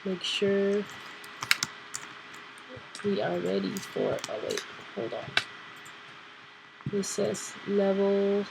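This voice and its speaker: A woman hosting a casual computer tutorial, nasal and clearing her throat because she is sick, thinking aloud with fillers. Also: mid-range voice, fairly even intonation, extremely slow, pauses often, noisy background. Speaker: tutorial host. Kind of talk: casual screen-recorded tutorial.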